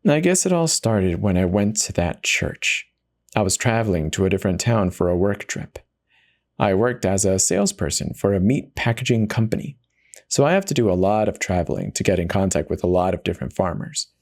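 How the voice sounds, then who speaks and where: A man's voice: deep voice, sing-song, a little fast, darkish tone. A man voicing a self-justifying, conversational narrator who casually confesses in a first-person dramatic horror reading. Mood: uneasy recounting. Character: confessional devout man turned self-eater, casually rationalizing.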